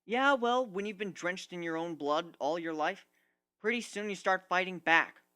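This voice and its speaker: A man's voice: high voice, moderate pace, sharp tone, clear, resonant tone, pitched above the performer's own voice. A man voicing a proud, embittered young griffin warrior in a story reading, blunt and emphatic. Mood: bitter, defiant.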